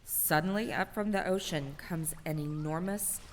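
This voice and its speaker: A woman narrating a scripted radio drama as a storyteller, deliberate and dramatic. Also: on the low side, background noise. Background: music.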